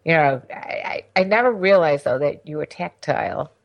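Middle-aged woman, very low voice, gravelly, chatty teasing podcast host. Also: lively intonation, normal speed, dull audio. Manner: chatty, teasing, rambling restarts. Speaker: podcast co-host, sex expert. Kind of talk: casual chat.

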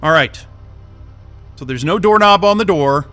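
A man's voice: fairly high pitch, expressive, a little slow, sharp tone, faint music behind. A man acting in an audio drama as a confused, impatient interview candidate who rambles and hesitates in a casual way. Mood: exasperated, calling out.

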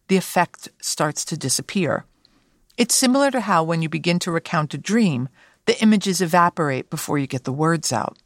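A woman's voice: fairly low pitch, no echo, studio quality. A woman with a New York accent hosting and narrating a scripted, reflective segment. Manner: reflective, essayistic. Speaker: podcast host.

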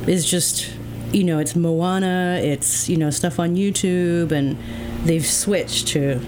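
A woman's voice: on the low side, fairly even intonation, takes it slowly. A woman guest in a casual interview, talking reflectively with many fillers like "like" and "um", with faint background noise. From England.

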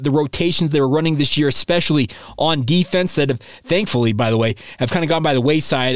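A man hosting a sports podcast, a little hoarse from a coming sore throat, talking in an excited, chatty way with plenty of fillers and restarts. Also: on the high side, no room sound, studio quality. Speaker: podcast host. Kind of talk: casual sports talk podcast.

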